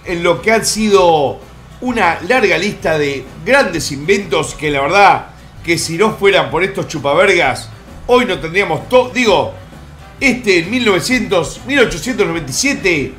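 A man's voice: sits high, lively intonation, moderate pace, fairly bright tone, soft background music. A middle-aged man, hosting a live stream from home and rambling through a countdown with vulgar jokes and asides. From born in the United States. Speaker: streamer host. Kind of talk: crude comic countdown commentary. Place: home room in Miami.

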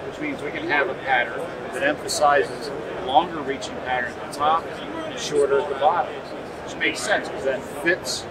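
A man in his forties giving a measured, technical product demonstration as a company representative. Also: mid-range voice, steady tone, a loud crowd. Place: busy indoor convention hall booth. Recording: loud trade show hall, distant mic. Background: loud exhibition hall ambience.

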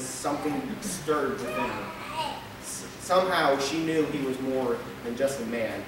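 A man's voice: medium pitch, distant mic, background noise. Young man preaching in an echoey church. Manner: reads steadily from a script at the pulpit, with occasional stumbles and filler. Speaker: lay preacher. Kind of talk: sermon read from notes. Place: small church sanctuary. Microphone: pulpit mic, camera at the back. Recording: echoey church hall recorded from afar.

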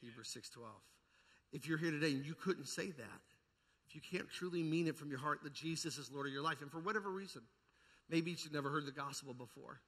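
A man preaches with a fairly high, sharp, forceful voice. His delivery is fervent and emphatic, leaning on repeated phrases and rhetorical questions to the congregation. The sound carries the long reverb of a large amplified church. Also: brisk. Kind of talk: charismatic evangelical sermon. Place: large indoor church hall.

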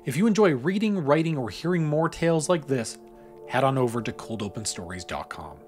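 A man presents with a lively, swinging intonation at a medium pitch. He reads a scripted introduction for audio fiction, with music underneath. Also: fairly quick. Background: music behind the voice.

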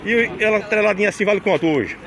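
A man's voice: high-pitched, fast, vocal fry. A man with a Northeastern Brazilian accent, chatting casually as a vlogger outdoors and peppering his commentary with tag questions. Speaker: vlogger touring a livestock fair. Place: outdoors at a livestock fair. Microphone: handheld phone behind the camera. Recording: busy outdoor crowd behind voice.

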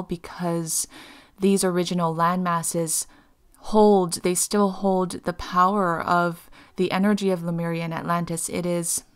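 A woman from Hawaii giving a channeled spiritual talk as a voice-over, halting often with fillers and restarts. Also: fairly low pitch, smooth.

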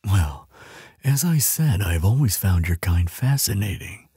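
A tender, earnest giant acted by a man murmurs in a very husky, breathy voice, close and dry. He takes his time, soothing and affectionate, with lilting, expressive intonation, as in an intimate ASMR roleplay. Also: low voice, no room sound, full character voice. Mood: earnest, thoughtful. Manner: tender, unhurried, hesitant murmuring with soft hums and sighs.

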